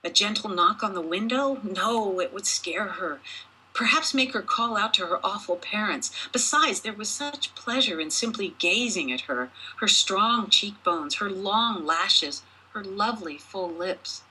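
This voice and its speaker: A woman author reading her own short story aloud as expressive storybook narration, with deliberate, dramatic phrasing. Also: mid-range voice, husky, rough recording.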